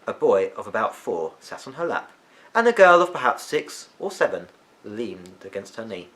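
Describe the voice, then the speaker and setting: A man reading a children's story aloud as an expressive narrator. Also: on the low side, moderate pace, rough recording.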